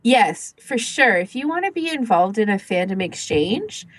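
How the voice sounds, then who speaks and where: A woman's voice: medium pitch, big pitch swings, normal speed, no room sound. Casual woman podcaster, close dry sound. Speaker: podcast co-host.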